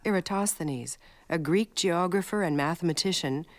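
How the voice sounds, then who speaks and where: A woman reading a scripted narration for an educational film, telling it in a measured storytelling way. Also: lowish voice, noisy background. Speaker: documentary narrator.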